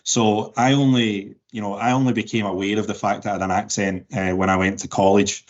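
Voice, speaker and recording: A man in his forties with a softened Glaswegian Scottish accent, answering interview questions thoughtfully with many restarts and fillers. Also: lowish voice, level tone, brisk, muffled recording. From Scotland. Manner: thoughtful, frequent word repeats and fillers. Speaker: interview guest.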